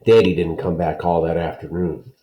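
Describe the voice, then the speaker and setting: A man reads aloud to children in a low, mellow voice. His delivery is plain and explanatory, and the room gives it a noticeable echo. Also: flat delivery, normal speed, slight background noise. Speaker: read-aloud storyteller. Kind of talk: children's story and author's note read aloud, explanatory asides. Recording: roomy, echoing sound.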